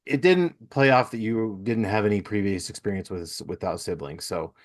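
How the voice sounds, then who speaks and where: A man in his thirties with a fairly low voice, chatting as a podcast host. He is affirming and informal, restating his points in several ways as he talks. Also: normal speed. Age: 30s. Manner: affirming, repeats and rephrases himself. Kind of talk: casual conversational interview.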